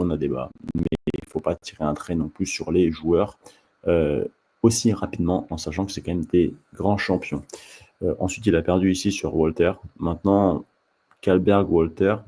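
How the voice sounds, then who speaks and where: A man with a deep, husky voice talks casually to the camera as a channel host. His tone is dark and mellow, and he often stops and restarts his sentences as he addresses the viewer directly. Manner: halting, many restarts, talks straight to the viewer. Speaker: table tennis coach and channel host.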